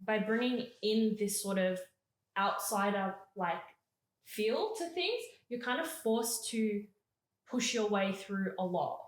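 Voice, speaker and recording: A woman co-host talks in a measured, thoughtful way, pausing to search for the right words. Her voice sits in a middle pitch. Her voice echoes noticeably in the room. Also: animated. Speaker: podcast co-host. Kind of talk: casual chat.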